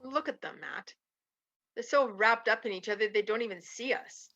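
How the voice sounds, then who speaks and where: Actress as knowing frontier saloon woman, gossipy, highish bright voice, western radio play, slightly dull recording. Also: normal speed. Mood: watchful, concerned. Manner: casual, pointed, questioning. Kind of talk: old western radio drama acting. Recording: slightly dull recording, guitar music behind.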